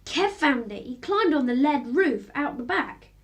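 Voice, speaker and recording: Woman voicing young boy, eager, childlike, high pitch, very varied intonation, radio drama. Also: animated, measured pace. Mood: matter-of-fact. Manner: childlike, matter-of-fact.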